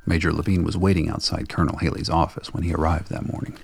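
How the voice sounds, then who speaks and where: Very deep, gravelly male narrator. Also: fairly even intonation, quick pace, a little mellow, a little hiss or hum. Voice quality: gravelly, husky. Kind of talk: scripted story narration.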